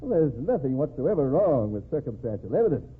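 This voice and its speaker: Pompous, husky radio-drama governor. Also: male voice, mid-range voice, very animated, brisk. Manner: pompous, speechifying. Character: pompous, defensive state governor, a politician. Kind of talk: old-time radio drama acting. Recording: narrow, tinny vintage radio recording.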